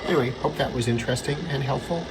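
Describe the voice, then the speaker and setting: A man giving an unscripted hands-on gadget demonstration, thinking aloud in halting short phrases. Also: mid-range voice. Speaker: radio hobbyist demonstrating receivers. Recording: loud radio static and hiss. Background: shortwave radio noise and tuning static.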